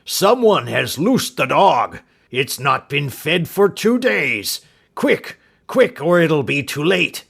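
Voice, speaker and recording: A man's voice: a little high, big pitch swings, slow pace, vocal fry, a bit bright, breathy edge, pitched above the performer's own voice, exaggerated voice. A man voicing a panicked elderly male servant who speaks in a frantic, pleading way. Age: older.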